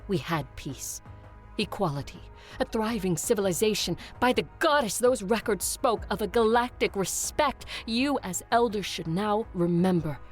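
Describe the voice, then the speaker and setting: A woman playing a fed-up, forceful vampire conqueror leader arguing her case in an audio drama. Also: medium pitch, lively intonation, moderate pace, close to an everyday voice. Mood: exasperated. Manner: forceful, emphatic oratory. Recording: music under the voice.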